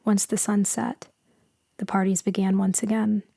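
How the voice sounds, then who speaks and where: A woman playing a gentle, melancholy immortal female vampire in a sleep ASMR roleplay, speaking softly and intimately, often slipping into a whisper. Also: medium pitch, little pitch movement, no room sound. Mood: uneasy, somber. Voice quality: soft, breathy, often whispering. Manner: slow, hushed, wistful, intimate.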